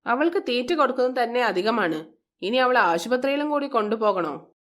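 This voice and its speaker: A woman puts on a middle-aged stepmother from a moral tale, spiteful and scheming. Her fairly high voice scolds and drips with contempt. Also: expressive, moderate pace, close to an everyday voice. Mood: irritated.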